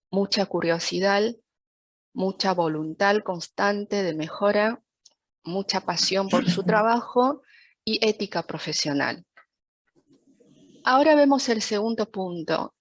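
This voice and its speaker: A woman from China, a native Chinese speaker, gives a prepared lecture as an interpreter in a formal, measured, didactic way. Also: mid-range voice, no room sound. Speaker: interpreter giving a talk. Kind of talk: prepared lecture, read from a script.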